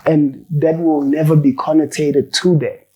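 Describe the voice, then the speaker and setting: Relaxed slangy rapper man, mellow voice. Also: fairly high pitch, unhurried, a little hiss or hum, poor audio. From South Africa. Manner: loose, slangy, swears freely, laughs while telling stories. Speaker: rapper, interview guest. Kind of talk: casual interview chat.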